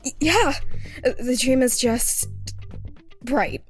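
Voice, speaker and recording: A woman's voice: high voice, takes it slowly, soft background music. A woman voicing a shy, nervous candy shop keeper in a dating game, hesitant and stammering. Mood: nervous, evasive. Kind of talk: dating simulator voice acting.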